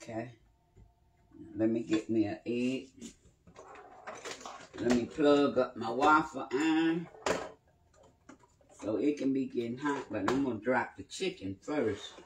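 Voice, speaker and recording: An older woman with a drawl talks slowly and easily, pausing with "uh" as she works. She is recorded in her own kitchen by a phone camera set a few feet away. A faint hiss sits under her voice. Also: a bass voice. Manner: unhurried, chatty, talks while working with pauses and fillers. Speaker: home cooking channel host. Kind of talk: casual cooking demonstration. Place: home kitchen, indoors. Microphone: phone camera a few feet away on the counter.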